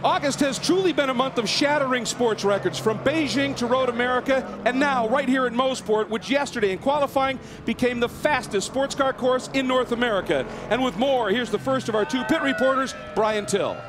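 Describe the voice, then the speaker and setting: A man's voice: extremely high pitch, brisk, hardly any pauses, noisy background. A man hosting a live motor race broadcast as play-by-play announcer, with a polished, upbeat delivery from the booth.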